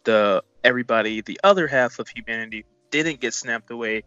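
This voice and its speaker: A man's voice: medium pitch, fairly quick, pushed and bright, dry recording. A man co-hosting a casual film review, rambling and repeating himself like an awed, informal fan. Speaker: movie review co-host. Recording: dull lo-fi sound.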